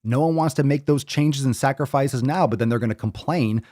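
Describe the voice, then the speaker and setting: A man's voice: mid-range voice, fairly quick, dark tone, no room sound, studio quality. A man in his thirties hosting a fitness podcast, lecturing bluntly with plenty of swearing. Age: 30s. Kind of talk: ranting livestream talk.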